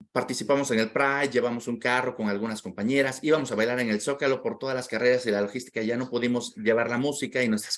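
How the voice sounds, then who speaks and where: A man as an interview guest, telling a personal story in a heartfelt, reflective way and often repeating words while he searches for them. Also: mid-range voice.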